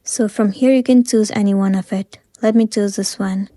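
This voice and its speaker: A woman's voice: medium pitch, steady tone, moderate pace, warm tone, dry recording, studio quality. A woman narrating a step-by-step tutorial in a plain-spoken, instructional way, as a scripted voice-over.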